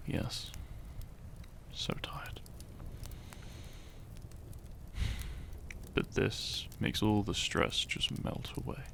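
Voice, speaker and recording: A man playing a shy, tender young hero in love in a romantic audio roleplay, speaking gently and intimately. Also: on the low side, vocal fry, slight background noise, full character voice. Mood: weary, affectionate. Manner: slow, gentle, intimate, halting.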